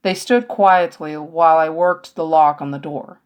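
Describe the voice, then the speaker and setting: A woman narrates a story in the first person. Her voice is slightly low, and she reads at a slow pace, as an audiobook narrator would with scripted prose. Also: fairly even intonation, clear, resonant tone.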